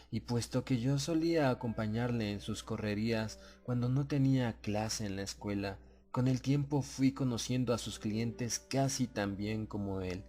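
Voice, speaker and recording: Guy narrating a scary audiobook, serious and suspenseful, normal pitched voice, bit of music underneath. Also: darkish tone. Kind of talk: scripted dramatic horror story narration.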